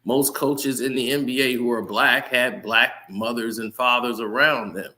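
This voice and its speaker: Heated male sports commentator addressing viewers, bright and forceful. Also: mid-range voice, steady tone, unhurried. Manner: heated, ranting, talks straight to viewers. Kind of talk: casual sports commentary rant.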